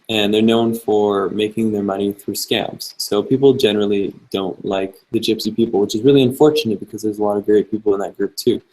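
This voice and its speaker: A young man, a travel vlogger, chatting casually and answering viewer questions in a relaxed, rambling way. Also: fairly low pitch, rough recording. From Canada. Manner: relaxed and chatty, many fillers, reads questions aloud.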